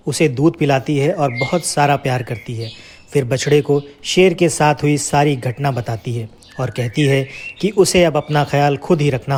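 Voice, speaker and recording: Mid-pitched male storyteller with noisy recording. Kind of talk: scripted children's story narration.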